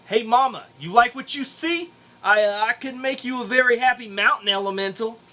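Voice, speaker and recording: A man playing a gruff, reluctant, slangy blue-collar worker in an amateur dramatic comic book reading. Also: very high voice, a little fast, pushed and bright, pitched above the performer's own voice. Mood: awkward flirting.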